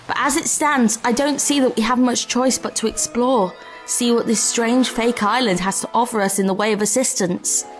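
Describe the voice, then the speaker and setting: A woman's voice: a little high, fairly even intonation, normal speed, faint music behind, natural voice, low-quality recording. A woman playing a curious, brave, level-headed adventurer in an audio drama, speaking in a precise, articulate way. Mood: intrigued.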